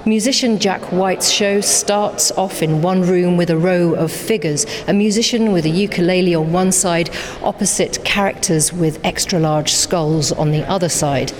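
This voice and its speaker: A woman's voice: lowish voice, normal speed, few pauses, slight background noise. A woman working as an arts reporter, narrating and interviewing for a radio feature. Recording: reverberant space, faint music behind.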